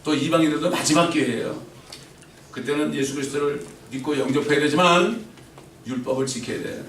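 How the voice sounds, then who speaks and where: A middle-aged man preaching a sermon, swinging between loud emphatic peaks and quiet asides and asking the congregation questions. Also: a little high, fairly quick, a little hiss or hum. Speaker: pastor. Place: church sanctuary. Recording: roomy church echo from far off.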